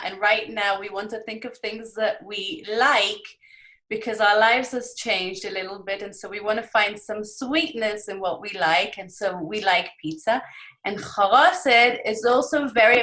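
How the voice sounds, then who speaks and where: A woman's voice: medium pitch, a bit bright, rough recording. A woman teacher leading children through an online class assembly, giving instructions and prompting them to answer.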